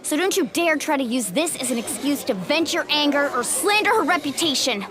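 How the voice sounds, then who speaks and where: A loyal young heroine from a video game. Her voice is high and youthful, with an earnest, impassioned delivery that carries a fiery temper. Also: lively intonation, brisk, a crowd in the background. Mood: indignant.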